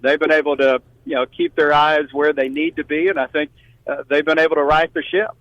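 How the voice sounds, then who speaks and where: Higher-pitched man talking sports, dry sound. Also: flat delivery, brisk, a bit bright, no echo. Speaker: sports play-by-play announcer as guest. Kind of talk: conversational sports analysis. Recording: dry, slightly dull remote-guest audio.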